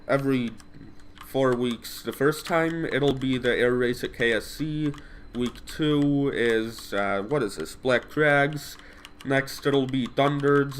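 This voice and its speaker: A man's voice: medium pitch, slight background noise. Stuffed-up man narrating his gameplay. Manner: rambling, self-correcting, thinks aloud. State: stuffed up from a cold. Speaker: gameplay commentator. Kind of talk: casual gameplay commentary.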